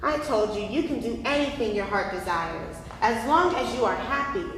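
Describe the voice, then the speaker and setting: A woman's voice: on the high side, animated, moderate pace, natural voice. A woman playing a doting, outspoken mother in a staged play reading, coaxing and folksy, with an emphatic delivery. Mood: coaxing, encouraging. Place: live staged reading in a reverberant room. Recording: very echoey hall, some background noise.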